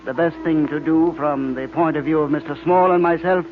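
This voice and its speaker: Gloating crime mastermind, acted by a man, higher-pitched, theatrical, deliberate, 1940s radio drama, muffled noisy recording. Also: fairly even intonation, lightly acted. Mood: gloating, menacing. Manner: deliberate, drawn-out, theatrical delivery. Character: gloating, calculating criminal mastermind, adult man. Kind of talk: 1940s radio serial acting.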